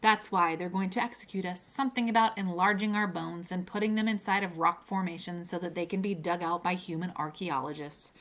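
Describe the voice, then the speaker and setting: A woman's voice: fairly low pitch, fairly even intonation, a little fast, few pauses, a bit bright, natural voice. A woman acts out a small male pterodactyl from a storybook. He is genteel and fussy, forever courteous and easily affronted. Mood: earnest, affronted. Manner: fussy, old-fashioned genteel politeness.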